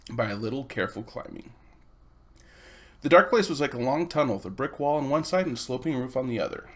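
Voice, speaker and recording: A man's voice: mid-range voice, fairly bright tone. A man reading a children's storybook aloud as its narrator. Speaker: reader.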